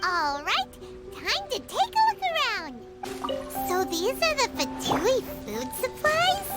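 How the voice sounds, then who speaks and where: A woman voicing a tiny, childlike, perky fairy companion in a video game, squeaky and exclamatory. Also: huge pitch swings, slow pace, over loud music, full character voice. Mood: curious, excited. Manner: exclamatory, third-person self-reference. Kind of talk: cartoonish video game voice acting.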